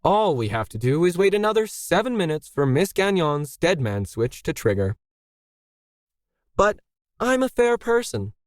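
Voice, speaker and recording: A man's voice: on the high side, dry recording, clean studio sound, pitched above the performer's own voice. A man voicing a scheming billionaire, smug and coolly persuasive, with formal, condescending delivery in a story reading.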